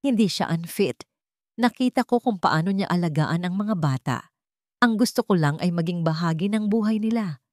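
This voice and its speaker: A woman's voice: on the low side, animated, moderate pace, a little mellow, dry recording, clean studio sound, lightly acted. A woman voicing a determined, defiant billionaire son and father in a story, firm and insistent. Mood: bitter, earnest.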